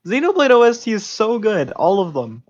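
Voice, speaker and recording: A fairly high-pitched man's voice with lively swings, recorded a bit dull and lo-fi. He chats casually on a podcast, blunt and slangy, repeating his phrases. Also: normal speed. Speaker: podcast co-host. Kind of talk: casual chat about video games.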